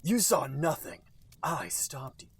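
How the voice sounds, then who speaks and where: A man voicing a brooding, self-serious teenage cartoon antihero with a deliberate, menacing delivery. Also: fairly high pitch, big pitch swings, slightly rough, no room sound, exaggerated voice. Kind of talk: acted fan-fiction dialogue reading.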